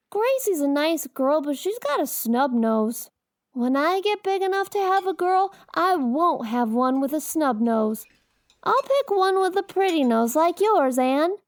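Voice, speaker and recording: A very high-voiced little boy of eight in a storybook. He is earnest and mischievous, chattering away in slangy childish grammar. The sound is dry and close. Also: no room sound, exaggerated voice. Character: talkative eight-year-old boy, earnest, mischievous and curious. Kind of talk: dramatized audiobook character acting.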